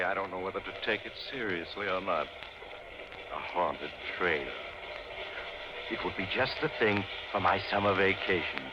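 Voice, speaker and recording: A man's voice: deep voice, natural voice. A man playing a mysterious, wry traveler who hosts a radio mystery, confiding, droll and courteous. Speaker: host. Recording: muffled noisy radio recording, train ambience.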